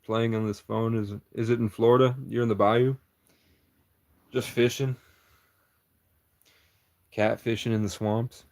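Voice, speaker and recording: Man, lowish voice, livestreamer riffing at chat, conspiratorial and meandering, cuts himself off a lot. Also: little pitch movement, unhurried, long pauses, a little mellow.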